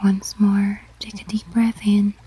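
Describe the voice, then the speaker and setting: Female meditation guide, ASMR style: whispery and very breathy, mellow tone, very slow gentle pacing. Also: mid-range voice, little pitch movement. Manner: slow, gentle, measured instructions.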